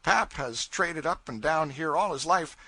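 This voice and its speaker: A man's voice: on the high side, a little slow, pushed and bright, slightly rough, dry recording, pitched above the performer's own voice, full character voice. A man voicing a scared young boy stowaway on a raft, polite and eager to please. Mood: pleading.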